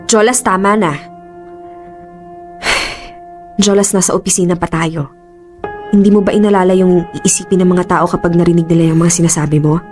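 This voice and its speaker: A woman's voice: on the low side, fairly even intonation, normal speed, long pauses, darkish tone, soft background music, natural voice. A woman with a Filipino accent acting a torn, defensive young office worker in a radio drama. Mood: flustered. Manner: defensive, emotional.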